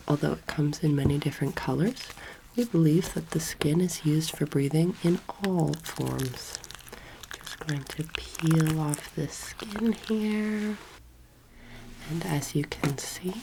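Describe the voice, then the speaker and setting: A young woman speaking softly and breathily, often near a whisper, in a slow, gentle ASMR roleplay lecture as a teacher. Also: low voice, slight background noise, low-quality recording. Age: young adult. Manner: slow, gentle, deliberate instruction.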